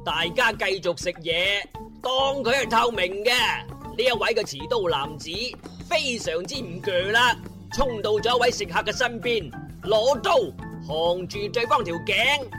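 A colloquial, teasing storyteller, narrating a comic radio drama with drawn-out emphasis. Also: medium pitch, animated. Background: music.

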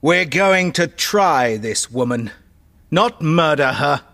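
A woman voicing a stern, commanding male musketeer leader with solemn, measured speech. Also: sits low, lively intonation, fairly bright tone, heavily acted. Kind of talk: audiobook character voice.

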